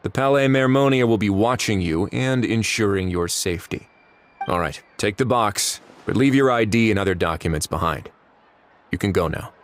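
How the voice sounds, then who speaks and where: An actor playing a calm, authoritative male warden in a video game, speaking in a cool, measured, dryly commanding way. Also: on the low side, slight background noise. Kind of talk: video game cutscene dialogue.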